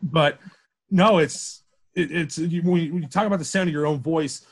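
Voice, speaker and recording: Deep-voiced young man, rambling. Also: normal speed, slightly rough. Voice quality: deep, projecting voice. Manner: rambling with frequent restarts and fillers. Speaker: campus radio sports director, guest. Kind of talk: casual podcast interview.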